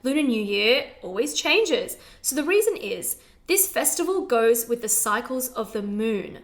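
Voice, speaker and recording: A woman's voice: on the high side, big pitch swings, unhurried. A young woman from China co-hosting a casual chat, playful and wry as she explains family customs. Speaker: podcast co-host.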